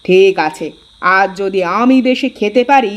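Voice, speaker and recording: A woman voicing a sharp-tongued elder sister-in-law in a village cartoon story. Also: medium pitch, moderate pace, lightly acted. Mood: taunting, challenging. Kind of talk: Bengali cartoon dialogue.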